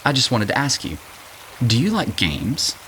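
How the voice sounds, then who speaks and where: A man's voice: mid-range voice, huge pitch swings, normal speed, bright, forceful tone, rough recording. A man in an audio drama playing a flirtatious, wisecracking drifter with a casual, irreverent way of talking. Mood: coaxing, playful. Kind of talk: naturalistic audio drama acting. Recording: rain-like background noise.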